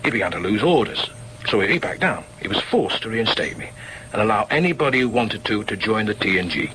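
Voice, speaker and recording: Stubborn Northern socialist dad, bright-voiced. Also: male voice, lowish voice, very animated, slight background noise, poor audio. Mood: earnest, defiant. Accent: Northern English. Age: middle-aged. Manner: emphatic, halting repetitions, muttered inner asides. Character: argumentative socialist shop steward father, proud and stubborn. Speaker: actor in a radio play. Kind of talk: naturalistic radio drama with inner asides.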